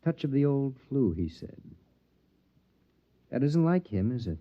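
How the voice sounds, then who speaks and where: Stern husband, dull old radio. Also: male voice, mid-range voice, very animated, unhurried. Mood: probing, guarded. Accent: American. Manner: stern, clipped, lecturing. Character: domineering, ambitious, suspicious husband in a post-apocalyptic shelter. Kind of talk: mid-century American sci-fi radio drama acting. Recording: dull, band-limited vintage radio recording.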